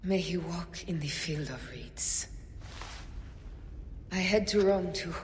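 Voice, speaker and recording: An actress playing a determined Egyptian leader of conspirators in a video game, measured and solemn. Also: female voice, fairly low pitch, animated, long reverb tail, slight background noise. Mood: solemn regret.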